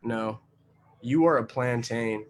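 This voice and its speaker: A man reading his own poems aloud over a video call, measured and rhythmic. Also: mid-range voice. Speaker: guest poet. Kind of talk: poetry reading.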